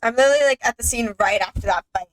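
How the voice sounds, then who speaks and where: A woman's voice: fairly high pitch, rapid-fire, low-quality recording. A young adult woman chatting casually over a game, eagerly explaining details as a co-host. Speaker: gaming co-host. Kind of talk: casual gameplay chat.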